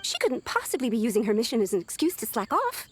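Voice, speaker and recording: A woman's voice: fairly high pitch, big pitch swings, quick pace, slight background noise. A woman playing a polite, earnest, worried young female secretary in a video game. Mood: earnest, concerned.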